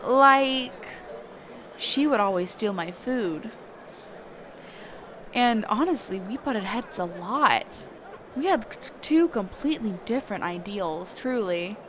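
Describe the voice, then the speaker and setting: A woman playing a sheltered, curious mage teacher in a conversational roleplay audio drama, halting and often correcting herself. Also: mid-range voice, lively intonation, background noise. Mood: fond, wistful. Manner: halting, hesitant, trailing off and self-correcting.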